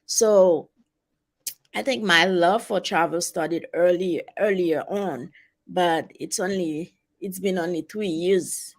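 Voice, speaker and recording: A woman in her forties from Haiti with a heavy Haitian accent, talking as an interview guest in a halting, casual way with many fillers. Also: on the low side. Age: 40s. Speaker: guest, travel advisor.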